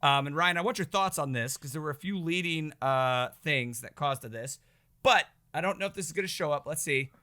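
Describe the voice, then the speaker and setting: A man co-hosting a podcast in casual chat, a little hoarse, chatty and excitable. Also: on the high side, fairly quick. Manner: chatty, excitable, self-mocking. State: slightly hoarse. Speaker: podcast co-host.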